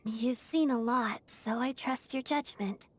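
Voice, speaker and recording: A woman voicing an earnest, disciplined young ballet dancer in a video game, speaking in a composed, formal and measured way. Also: on the high side. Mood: earnest, polite. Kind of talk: video game character voice acting.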